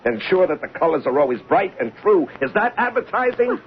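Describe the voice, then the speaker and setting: An actor playing an embittered, suspicious advertising executive in an old-time radio drama, sarcastic and full of agitated questions. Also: male voice, high voice, very animated, a little fast. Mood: indignant. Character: embittered, suspicious advertising man, husband unburdening to his doctor. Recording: dull, noisy old radio recording.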